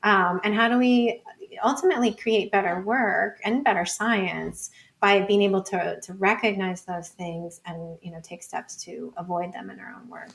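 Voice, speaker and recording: A woman's voice: medium pitch, fairly even intonation, moderate pace, creaky. Woman talking through ideas informally. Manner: informal, thinking aloud with frequent "like" and "you know". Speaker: podcast guest, researcher. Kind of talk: casual intellectual conversation.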